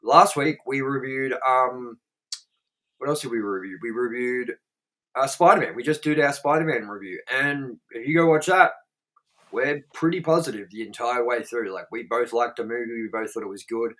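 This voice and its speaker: Man, Australian accent, co-host, film reviewer, blunt, profane, rambling, medium pitch. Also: moderate pace, fairly bright tone, poor audio. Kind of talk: casual review chat.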